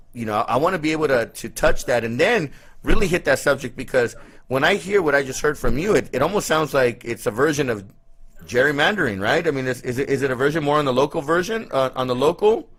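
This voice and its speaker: A man's voice: medium pitch, lively intonation, a little fast, a bit bright. Casual, effusive male talk show host. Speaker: live show host interviewing a guest. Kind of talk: casual conversational interview.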